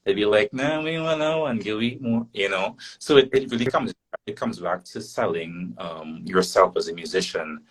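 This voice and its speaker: A man with a very low, husky voice talks through his thoughts in a relaxed podcast chat. He fills his pauses often and finds his way through each point slowly. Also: poor audio. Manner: thoughtful, many "um"s and "you know"s. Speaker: musician and guest.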